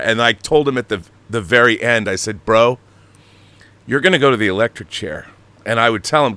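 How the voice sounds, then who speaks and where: A man hosting a casual interview, excitable and emphatic, often repeating words as he gets a question out. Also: fairly low pitch, no room sound. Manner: excitable, stammering repetitions, emphatic. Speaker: podcast host, audio drama director. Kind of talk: casual animated interview chat.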